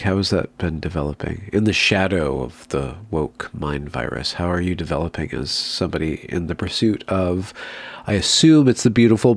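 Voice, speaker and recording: A male interview host with a very low voice asks long, calm, musing questions. His sound is dry and close, with no room at all. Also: no echo. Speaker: podcast host. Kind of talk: interview conversation.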